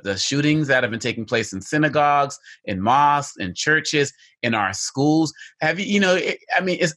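A man's voice: medium pitch, fairly bright tone. A man answering interview questions earnestly, stressing his points by repeating key phrases. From United States. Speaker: guest, educator on hate and extremism.

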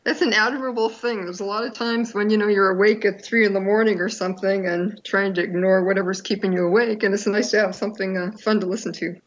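An older woman who is a podcast guest, telling her own story in a reflective, unhurried way. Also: mid-range voice, steady tone, muffled recording. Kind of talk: interview, personal storytelling.

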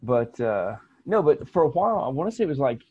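An American man co-hosting a podcast in casual chat, halting with many restarts and fillers. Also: medium pitch, sing-song, dark tone, breathy edge, dull audio. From United States. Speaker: podcast co-host.

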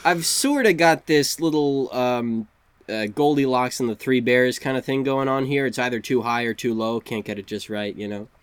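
A man co-hosting a podcast, joking dryly, his voice low from a recent illness. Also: normal speed, clean tone, no echo. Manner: dry joking banter. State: recovering from illness, lower voice. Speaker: podcast co-host. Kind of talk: casual podcast chat.

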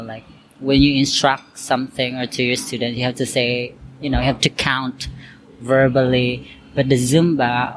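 Guy chatting slow and easy, lots of like and you know, steady middle pitch. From Philippines, Cebu. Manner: chatty, loose phrasing with many "like" and "you know" fillers. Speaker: interviewee, accountant and Zumba instructor. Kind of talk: casual interview answers. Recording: noisy background hiss.

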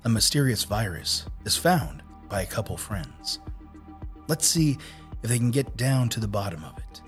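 A man's voice: on the low side, big pitch swings, moderate pace, soft background music. A man announcing a radio drama segment in a scripted introduction.

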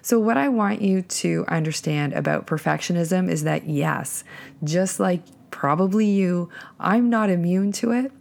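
Woman, podcast host, lowish voice thickened by a cold, earnest, reflective solo monologue. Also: measured pace, vocal fry, a little mellow. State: has a cold, voice lowered.

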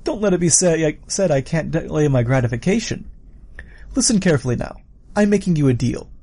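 A man's voice: fairly high pitch, animated, a little fast, vocal fry, dark tone, voice pushed up. A man voicing a sadistic, playful villain in an audiobook story, musing and taunting in a theatrical way. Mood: intrigued, scheming. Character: sadistic, playful adult male killer, theatrically menacing villain.

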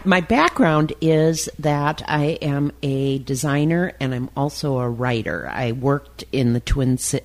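A woman in her sixties with a gravelly creak. She talks slowly and casually as a show guest, thinking aloud as she goes. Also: rumbling low, a little mellow. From Milwaukee, United States. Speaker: talk show guest, designer and writer. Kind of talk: casual interview chat.

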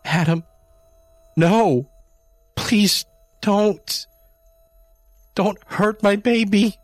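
A man's voice: a little high, wildly swooping pitch, takes it slowly, no echo, faint music behind, voice pushed up, full character voice. A man voicing a grieving young woman who shrieks and pleads in a raised, strained voice. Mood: desperate shrieking.

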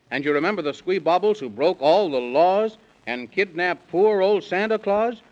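A man narrates a children's bedtime serial as a 1930s radio storyteller. His fairly high voice moves in theatrical, singsong rhyme, and the vintage recording is dull and lo-fi. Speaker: storyteller announcer.

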